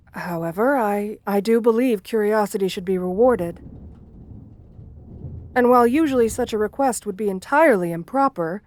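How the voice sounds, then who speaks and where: Woman voicing shy female gargoyle for ASMR roleplay: bookish, gentle, lonely. Unhurried, hesitant, formal delivery, close dry sound. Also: mid-range voice, no echo, natural voice. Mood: shy, bashful. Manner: hesitant, polite, old-fashioned formal diction. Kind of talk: romantic ASMR audio roleplay.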